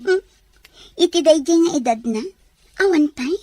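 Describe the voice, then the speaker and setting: A woman's voice: high voice, animated, normal speed, mellow. A woman playing a doubtful young woman who questions her friend in a musing way in an audio drama.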